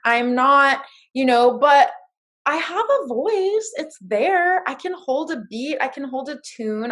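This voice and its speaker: A woman's voice: high voice, fairly bright tone. A woman hosting a casual interview, encouraging and affirming her guest. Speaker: podcast host.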